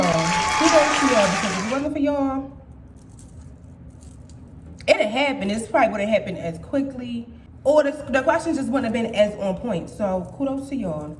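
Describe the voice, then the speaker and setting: A woman's voice: mid-range voice, a little hiss or hum. Playful young woman in an echoey room. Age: 20s. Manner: playful, swears freely, talks straight to viewers. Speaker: vlogger. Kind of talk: casual chat.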